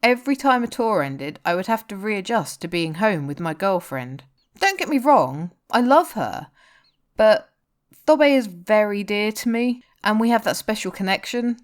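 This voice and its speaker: An earnest, lovelorn rock guitarist , voiced by a woman reading a story. The lines are character dialogue from fiction, lifted somewhat above the narrating pitch. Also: animated, normal speed, close to an everyday voice. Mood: confiding, earnest. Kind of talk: audiobook-style character dialogue.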